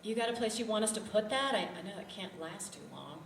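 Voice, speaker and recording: A woman's voice: mid-range voice, expressive, quick pace, lightly acted. Woman acting blunt, wary colleague, distant room. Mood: practical. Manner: blunt, direct. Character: practical, wary woman crew colleague, unafraid to object. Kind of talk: script table read. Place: indoor festival venue. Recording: distant echoey room with background noise.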